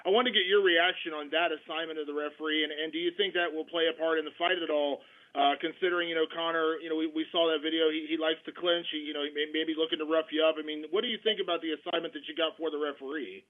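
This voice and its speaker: A man's voice: on the high side, fairly even intonation, fast talker. A male reporter asking rambling questions on a press conference call. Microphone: telephone. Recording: tinny phone line.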